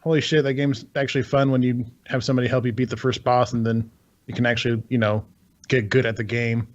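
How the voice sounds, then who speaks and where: A man's voice: mid-range voice, flat delivery, fast, highs cut off. A man chatting casually about video games as a podcast co-host, rambling, swearing freely and sounding incredulous. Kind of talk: casual video game chat.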